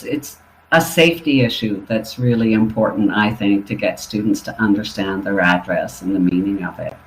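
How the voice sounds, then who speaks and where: A man, an ESL literacy teacher, giving a webinar presentation in a measured, explanatory way. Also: mid-range voice. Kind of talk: instructional webinar talk. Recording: roomy echo.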